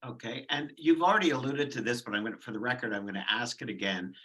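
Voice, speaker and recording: A man interviewing a guest over a video call, asking prepared questions with a formal, somewhat halting delivery. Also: medium pitch, a bit bright, rough recording. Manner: hesitant, repeats words, formal phrasing.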